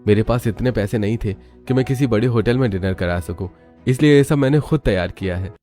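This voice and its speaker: A man's voice: lowish voice, brisk, mellow, soft background music, close to an everyday voice. A man voicing a devoted, modest young husband in a cartoon, affectionate and sincere.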